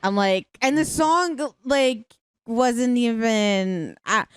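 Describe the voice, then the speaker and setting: A woman's voice: a little high, lively intonation, takes it slowly, clean tone, no room sound, clean studio sound. A young woman co-hosting a podcast, chatting casually in an informal, exclamatory, agreeable way. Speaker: podcast co-host. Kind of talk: casual review chat.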